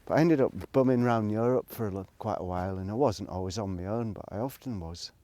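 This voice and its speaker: A man's voice: on the low side, fast, warm tone. A middle-aged man, rambling reflectively in a casual solo monologue, hesitant and thinking aloud as he goes. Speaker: solo video blogger.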